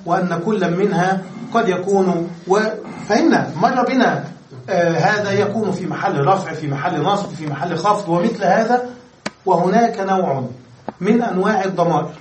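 A man teaching grammar in a class, patient and repetitive, often pausing to check that students follow. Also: sits high, slight background noise. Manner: patient, repeats points and checks understanding. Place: lecture room with students. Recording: echoey room, mic far off.

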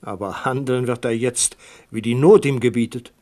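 A man's voice: medium pitch, normal speed, darkish tone, slight background noise, close to an everyday voice. A man playing a scheming, insistent duke in a radio drama, speaking in a pressing, calculating way. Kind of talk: 1970s radio drama acting.

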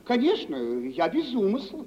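Very high-pitched, insecure jealous fiancé. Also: male voice, huge pitch swings, darkish tone, full character voice. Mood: apologetic. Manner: agitated, halting, repeats words when pressing. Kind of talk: 1950s Russian radio theatre acting. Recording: muffled, hissy mid-century radio recording.

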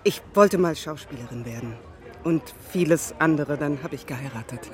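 A woman's voice: low-pitched, moderate pace, faint music behind, close to an everyday voice. A woman playing a disappointed director's wife in a radio drama, with a dry, wry, understated way of talking. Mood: dry, wistful. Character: director's wife, disappointed former aspiring actress, dry wit.

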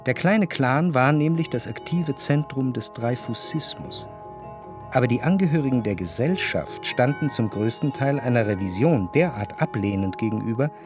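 An actor playing a reflective, wryly observant narrator in a literary radio drama, speaking in a measured, articulate way. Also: male voice, mid-range voice, natural voice. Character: reflective, observant male narrator of society life. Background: faint music.

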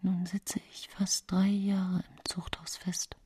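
An accused woman poisoner in a radio play, widowed and haunted. She speaks in a deliberate, confiding way that can sink into a whisper. She sounds calculating, forever justifying herself. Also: fairly low pitch, little pitch movement, mellow, husky, exaggerated voice. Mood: bitter, brooding. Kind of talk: radio drama monologue.

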